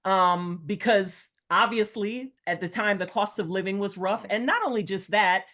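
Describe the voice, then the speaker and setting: A middle-aged woman who was born in Jamaica and grew up in the United States. She talks as a real estate coach on a video call. She is chatty and rambling, and her voice sits at an ordinary mid pitch. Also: moderate pace, a bit bright. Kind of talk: casual webinar talk.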